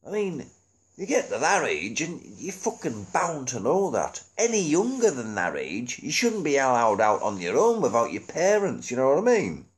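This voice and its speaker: A man's voice: mid-range voice, wildly swooping pitch, normal speed, low-quality recording. A middle-aged British man chatting casually and ramblingly about things, with colloquial, sweary speech and frequent chuckles. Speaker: amateur DVD reviewer. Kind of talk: casual rambling chat to camera.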